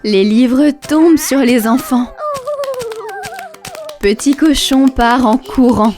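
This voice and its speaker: A storyteller narrating a scripted children's cartoon in expressive, sing-song rhymes. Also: high-pitched, slow pace. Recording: music behind.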